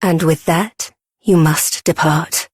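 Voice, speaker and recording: A woman playing a solemn, benevolent guardian spirit in a fantasy video game, with measured, archaic phrasing. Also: low-pitched, fairly even intonation, slightly rough, no room sound, studio quality, exaggerated voice. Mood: solemn encouragement.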